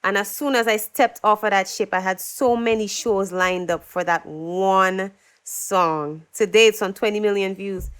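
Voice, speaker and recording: A young woman from Dominica with a smooth, clear voice at a middle pitch. She tells her story in a relaxed interview, animated and lively, slipping into the voices of the people she quotes. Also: a little slow. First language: English. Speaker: singer-songwriter guest.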